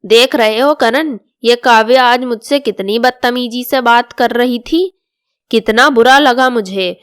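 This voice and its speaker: A woman's voice: on the high side, a little slow, smooth, no room sound, clean studio sound, natural voice. A woman voicing an older, bossy and easily offended mother-in-law in a storybook drama. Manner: scolding, complaining.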